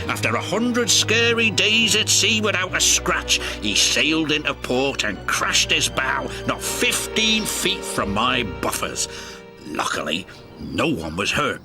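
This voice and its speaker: Man as a salty old sea-dog engine, nautical dialect, rambling yarns, swooping pitch, storybook with music.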